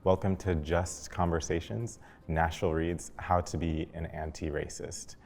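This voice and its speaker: A man's voice: low voice, flat delivery, unhurried, darkish tone. A man hosting a discussion, welcoming his guests in a friendly way and asking them questions. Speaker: host.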